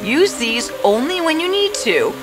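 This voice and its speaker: A woman voicing a gentle, confident young princess in a fairy-tale cartoon, expressive and coaxing as she speaks. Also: high voice, measured pace. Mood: gentle, instructing. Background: prominent background music.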